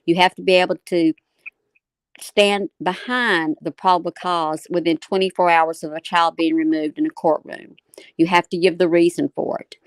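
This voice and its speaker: A woman with a fairly low voice hosts a talk. She explains her points in a measured way, often restating them in short phrases. The sound is dry, with little room in it. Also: no room sound. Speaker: talk show host. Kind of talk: explanatory live commentary answering viewer comments.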